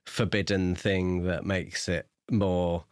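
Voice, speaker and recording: A man's voice: low voice, flat delivery, slow pace, clean tone, no room sound, clean studio sound. A man co-hosting a podcast, chatting casually about a book. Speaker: podcast co-host.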